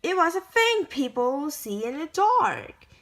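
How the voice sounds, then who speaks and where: A woman reading a children's picture book aloud in a halting way, stumbling over words as she goes. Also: high voice, very animated, fairly bright tone. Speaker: amateur story reader.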